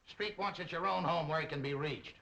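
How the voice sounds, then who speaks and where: An actor playing a brisk, dutiful policeman in a 1930s crime film, passing on orders in plain, firm, slangy speech. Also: on the high side, vocal fry, bright, forceful tone. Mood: firm, official. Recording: noisy 1930s film soundtrack.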